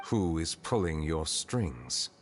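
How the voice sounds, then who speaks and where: A man voicing a composed, dignified male chief justice in a video game, calm and formal. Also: extremely low pitch, slow, slight background noise, full character voice.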